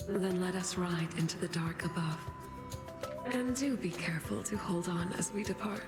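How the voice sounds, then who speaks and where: A woman voicing a regal moon goddess in a game, stately and soft. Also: on the low side, steady tone, moderate pace, lightly acted. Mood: serene. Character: serene, regal female moon goddess charioteer. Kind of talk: scripted game voice acting. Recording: echoing reverb, loud music.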